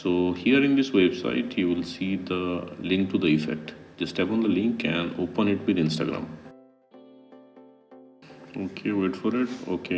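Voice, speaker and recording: A man's voice: sits low, vocal fry, darkish tone, far from the mic. A man narrating a casual how-to tutorial as a vlogger. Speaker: vlogger giving a phone app tutorial.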